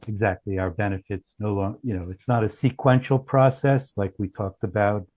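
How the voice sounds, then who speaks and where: A man presenting as a company founder in a business pitch on a group call, speaking haltingly with frequent restarts. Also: mellow, highs cut off. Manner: halting, often restarts phrases. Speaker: startup founder presenting his product.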